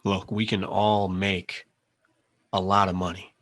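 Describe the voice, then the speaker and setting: An actor playing an earnest, brainy hacker, nervous but determined, speaking haltingly in a screenplay table read. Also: male voice, low-pitched, level tone, a bit bright. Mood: urgent.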